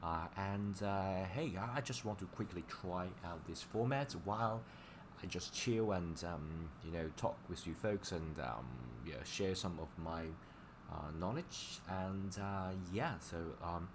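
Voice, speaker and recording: A man with a low-pitched voice and Chinese as his mother tongue talks as a language teacher on a livestream. His delivery is casual and halting, often circling back over the same words. Clear background noise runs through the recording. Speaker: language teacher live-streamer. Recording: clearly noisy livestream audio.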